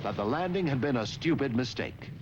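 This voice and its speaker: A man's voice: medium pitch, lively intonation, fairly quick, lo-fi sound, noisy background. A man reading scripted historical narration for a war documentary.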